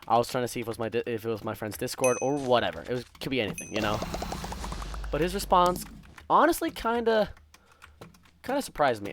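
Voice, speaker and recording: A young man casually telling stories while gaming, rambling and interrupting himself in a distracted way. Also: mid-range voice. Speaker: gaming content creator. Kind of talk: casual storytelling while playing a game. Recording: faint keyboard typing and clicking noise. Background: computer keyboard typing and clicks.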